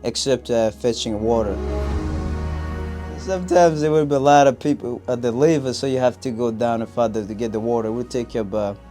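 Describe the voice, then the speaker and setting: A man in his twenties, speaking in a soft and reflective way in a documentary interview. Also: medium pitch, fairly quick. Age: 20s. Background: music.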